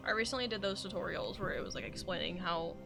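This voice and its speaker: A woman's voice: mid-range voice, fast talker, creaky, music in the background. A woman giving casual gameplay commentary as she streams. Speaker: game streamer.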